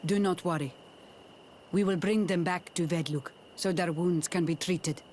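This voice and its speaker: An actor voices a proud, stern clan war leader in a video game. The voice is fairly high for a man, grave and resolute. Ambient noise is heard behind it. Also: steady tone, normal speed, darkish tone, natural voice. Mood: grateful.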